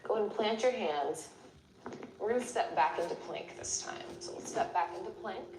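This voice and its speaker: A woman's voice: medium pitch, moderate pace, background noise. Woman leading yoga in an echoey room. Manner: gently encouraging, step-by-step cueing. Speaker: yoga instructor. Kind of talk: guided yoga class instruction. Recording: roomy echo with music behind. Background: background music.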